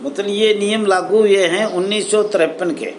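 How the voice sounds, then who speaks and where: A man giving a formal spoken appeal as an association spokesman, in a deliberate, halting, emphatic way, phrase by phrase. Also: high voice, steady tone, slight background noise. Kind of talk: formal address to camera, appeal.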